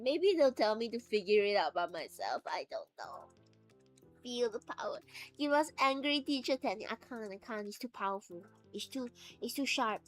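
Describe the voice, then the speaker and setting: A woman streamer chatting casually, laughing often and acting out little quoted voices. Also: a little high.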